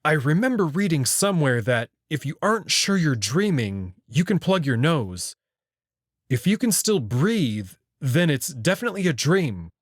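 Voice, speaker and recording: A man's voice: fairly high pitch, big pitch swings, moderate pace, no room sound, studio quality. A man narrating a first-person visual novel in a dramatic storytelling style.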